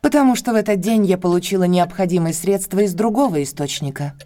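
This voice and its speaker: A woman's voice: on the low side, fast talker, dark tone, natural voice. An actress playing a guarded, anxious young woman in an audio drama, speaking with careful restraint.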